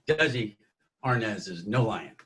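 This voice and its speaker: A man's voice: lowish voice, lively intonation, takes it slowly, bright, forceful tone, a little hiss or hum, full character voice. A man playing an anxious, placating lawyer in a screenplay table read, hesitant and soothing. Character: anxious, placating male lawyer and business manager.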